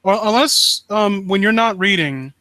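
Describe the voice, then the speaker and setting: Bright-voiced man rambling casually on stream. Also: sits high, animated, no room sound. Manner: rambling, halting, self-interrupting. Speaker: livestream host. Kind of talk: casual live stream chat.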